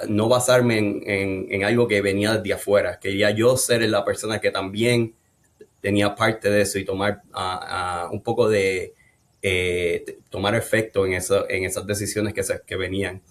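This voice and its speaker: Low, flat-voiced man with a Puerto Rican accent. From Colombia, raised in Puerto Rico. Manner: unhurried and thoughtful, with frequent "eh" and "ah" fillers and restarts. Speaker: guest neurologist. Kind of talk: interview, personal story.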